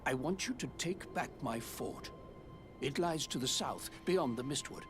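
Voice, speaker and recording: A man's voice: mid-range voice, expressive, a little slow, lightly acted. An actor playing a pompous, self-important nobleman in a fantasy game, haughty and theatrical in his delivery. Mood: condescending. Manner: haughty, theatrical, grandiose declamation. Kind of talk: scripted fantasy game acting. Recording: background music under the voice.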